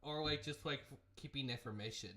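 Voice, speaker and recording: A man's voice: medium pitch, fairly quick, pushed and bright. A man of twenty in a casual voice chat, stammering and restarting his words. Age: 20s.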